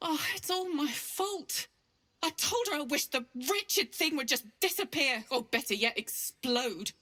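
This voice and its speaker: Fierce, desperate mother with a high voice. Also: animated, a little slow, full character voice. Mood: guilty, distraught. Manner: forceful, impatient, pleading.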